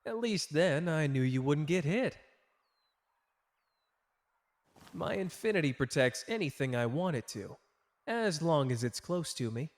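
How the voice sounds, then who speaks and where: A man's voice: a little high, expressive, normal speed, no echo. A man playing a cocky, tender young male sorcerer in an intimate ASMR romance roleplay, with a playful, teasing, casual manner. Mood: reassuring.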